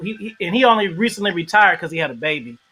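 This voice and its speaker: A man hosting a casual livestream interview, animated and shouting out viewers in the chat. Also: high voice, fast talker, slightly rough, low-quality recording.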